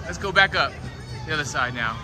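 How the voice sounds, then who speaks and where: Guy in his 40s doing a walking tour vlog, joking around, phone at arm's length outside, loud music playing behind. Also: on the high side, lively intonation, fairly quick, crowd noise. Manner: chatty, jokey, talks to viewers while walking. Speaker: travel vlogger. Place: outdoors at a tourist shopping street. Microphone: handheld camera at arm's length.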